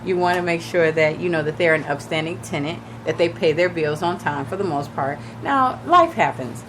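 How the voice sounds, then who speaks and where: A woman with a fairly low, raspy voice that still sounds a bit shaky as she recovers from losing it. She talks casually to listeners on a radio show, giving advice with plenty of fillers. The broadcast has a clear layer of noise behind her. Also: normal speed. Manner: chatty, advising listeners directly with many fillers. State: recovering from lost voice, a little shaky. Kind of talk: casual radio talk on real estate. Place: radio studio.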